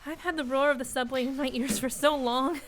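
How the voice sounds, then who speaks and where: An actress playing a sensible, slightly bossy young city woman in a radio play, brisk and explanatory. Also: high-pitched, steady tone, a bit bright, slight background noise.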